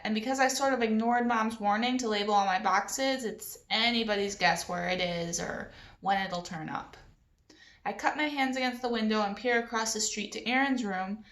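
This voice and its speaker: A woman reading a children's novel aloud in an expressive storytelling style. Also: fairly high pitch, reverberant.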